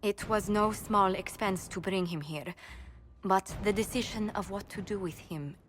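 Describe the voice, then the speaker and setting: An actress playing a diplomatic ambassador in a video game, speaking formally and ceremoniously. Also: female voice, medium pitch, measured pace, a bit bright, a little hiss or hum, close to an everyday voice. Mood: solemn, reluctant. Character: formal, diplomatic woman ambassador announcing a judgment. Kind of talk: dramatic video game acting.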